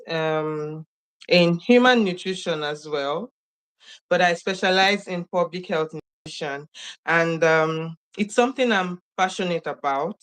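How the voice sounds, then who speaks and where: A woman's voice: lowish voice, fairly bright tone, smooth. A young woman, chatting as an interview guest over a video call, laughing often and using many fillers. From Nigeria. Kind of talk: casual interview storytelling.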